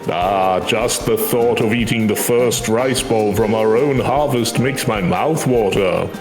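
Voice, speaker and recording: A man's voice: lowish voice, measured pace, reverberant, over music. A man voicing an earnest, grateful village farmer in a game, with polite, formal speech.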